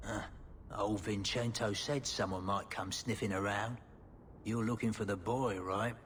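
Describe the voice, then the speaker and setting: An actor playing a shifty, grudging underworld contact in a video game, offhand and evasive. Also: male voice, on the low side, steady tone, a little slow, a bit bright. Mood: wary, grudging. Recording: long reverb, faint noise.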